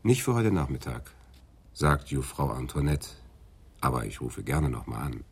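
An actor playing a matter-of-fact, dryly dismissive police detective in a radio crime drama. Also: male voice, extremely low pitch, very animated, moderate pace, over loud music, full character voice. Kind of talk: German radio play acting, office dialogue.

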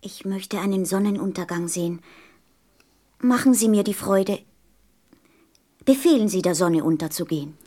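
A woman's voice: medium pitch, moderate pace, pauses often, warm tone, close to an everyday voice. A little prince, acted by a woman in a radio play. The child is earnest and polite, with a questioning, curious way of speaking.

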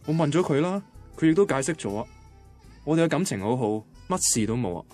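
Defensive young boyfriend, hong kong cantonese, chatty radio drama storytelling, guitar music behind. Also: male voice, on the high side, very animated, fast, a little mellow. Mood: anxious, defensive. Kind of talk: radio drama first-person narration and dialogue.

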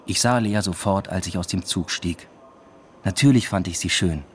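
An actor playing an evasive university lecturer in a radio drama, narrating in a dry, matter-of-fact, introspective way. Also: male voice, low-pitched, normal speed, slight background noise, natural voice. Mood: wry, reflective. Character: male university lecturer, evasive lover leading a double life. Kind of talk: literary radio drama first-person narration.

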